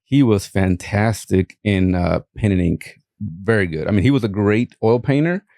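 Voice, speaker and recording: Brief: Mexican-accented man, lowish warm voice, hesitant guest speaker on a dry mic. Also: no echo. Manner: halting, many fillers and restarts. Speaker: art collector guest. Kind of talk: casual interview talk.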